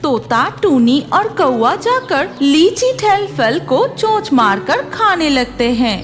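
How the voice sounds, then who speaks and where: A woman narrates a scripted cartoon story in a high voice. Her intonation swings widely while the pace stays slow. Music plays clearly behind her. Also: big pitch swings.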